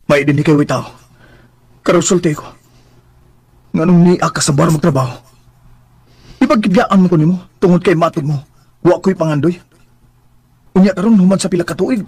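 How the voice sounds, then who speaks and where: An intense, wounded man nursing a grudge. His voice sits a little high with a husky edge, delivered as emotional radio drama. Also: moderate pace, pauses often, a little mellow, no room sound. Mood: angry, resentful. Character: wounded, resentful man named Jerry, a returned overseas success.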